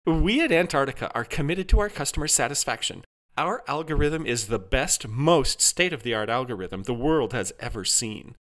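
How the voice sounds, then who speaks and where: A man's voice: medium pitch, lively intonation, moderate pace, fairly bright tone, pitched above the performer's own voice, close to an everyday voice. A man voicing a relentlessly cheerful, soothing artificial customer-service hologram with a syrupy, gushing salesman manner in an audiobook story. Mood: chirpy, confident.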